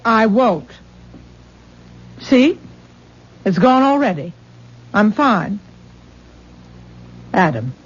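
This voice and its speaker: A muffled vintage radio play with a no-nonsense household protector. Her voice is fairly high and husky, and she speaks firmly and dryly, with little patience for nonsense. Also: darkish tone, heavily acted. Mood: firm, suspicious. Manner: skeptical, firm, dry. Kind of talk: 1970s radio drama acting. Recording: muffled, dull old radio broadcast.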